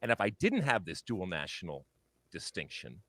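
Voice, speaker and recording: A man speaking as a television soccer pundit, making an earnest, measured argument. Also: low voice, huge pitch swings, bright, forceful tone.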